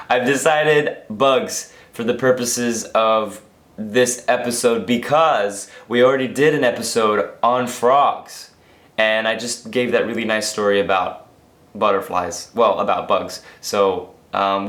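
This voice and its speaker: A tired young man vlogging casually, hoarse and losing his voice from being sick, rambling and interrupting himself. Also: fairly low pitch, fairly bright tone. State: tired after a long work shift. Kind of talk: casual daily vlog. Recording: echoey room.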